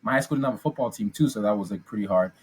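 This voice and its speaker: A man's voice: fairly low pitch, very fast, breathy edge, low-quality recording. A man in his twenties from Ghana, telling his story as a podcast guest in a chatty, upbeat way with many ums and restarts. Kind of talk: casual interview storytelling.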